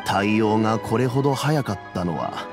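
A man voicing a grave, worldly-wise older advisor in an anime game, measured and gravelly. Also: fairly low pitch, big pitch swings, music in the background. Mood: probing, grave.

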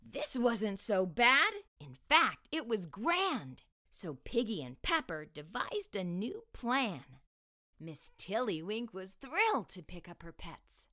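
A middle-aged woman reading aloud to young children as a storytime host, with expressive, deliberate delivery. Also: mid-range voice. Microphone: lapel mic.

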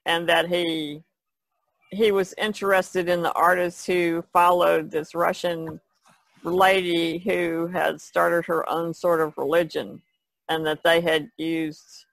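Woman, gravelly creak, casual podcast chat, tentative phrasing, dry close sound. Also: low voice, no room sound, low-quality recording. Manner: tentative, hedges with "sort of" and "kinda". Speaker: podcast panelist. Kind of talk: casual discussion.

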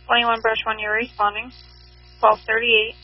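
A woman's voice: fairly high pitch, monotone, normal speed, faint music behind. A woman working as a fire dispatcher, reading back units and times formally over a two-way radio. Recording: muffled, husky dispatch radio audio with hum.

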